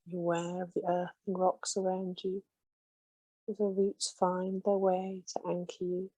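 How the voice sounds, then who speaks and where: A woman gently guides listeners through a meditation in a slow, soothing way. Her voice is mellow and slightly breathy, with very little rise and fall. The sound is close and dry. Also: on the low side, completely flat delivery, dry recording, poor audio.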